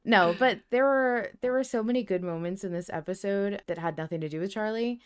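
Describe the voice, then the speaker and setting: A woman's voice: medium pitch, a little fast, clear, resonant tone. A woman co-hosting a fan podcast in casual chat, emphatic and playfully repetitive. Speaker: podcast co-host.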